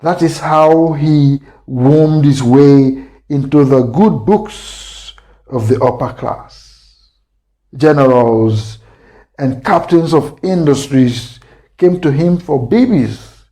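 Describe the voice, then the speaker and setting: Very slow, mellow male storyteller. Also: medium pitch, rough recording. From Africa. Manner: deliberate, pausing mid-sentence. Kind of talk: scripted dramatic narration.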